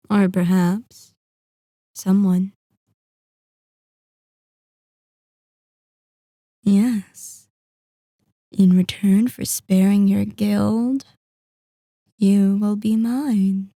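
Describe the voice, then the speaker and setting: A woman playing a possessive, sadistic female vampire in an ASMR roleplay, seductive, menacing and condescending, teasing and savoring each phrase with pauses. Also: medium pitch, steady tone, warm tone, no echo, clean studio sound. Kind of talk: scripted ASMR roleplay monologue.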